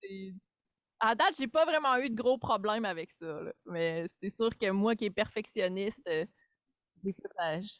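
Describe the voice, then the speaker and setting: A woman with a Québécois accent, chatting casually as a podcast guest, animated and self-deprecating, full of fillers. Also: mid-range voice, moderate pace, dry recording. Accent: Québécois French. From Quebec. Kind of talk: casual chat.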